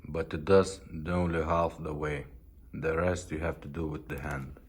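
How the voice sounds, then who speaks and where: Slow, low-voiced man demonstrating a product. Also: level tone, slightly rough. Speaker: video host and car radio seller.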